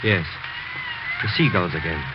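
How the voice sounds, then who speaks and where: An actor playing a sober, skeptical doctor, reasoning and admonishing in 1940s radio drama style. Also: male voice, deep voice, a little slow. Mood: urgent reproof. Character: sober, skeptical male doctor, concerned family friend. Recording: noisy, muffled 1940s radio recording.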